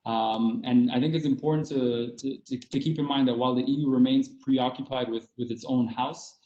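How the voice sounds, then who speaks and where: Measured male academic, muffled and roomy. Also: mid-range voice, steady tone, warm tone. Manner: measured, many fillers and restarts. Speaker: panel speaker. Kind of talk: academic panel talk.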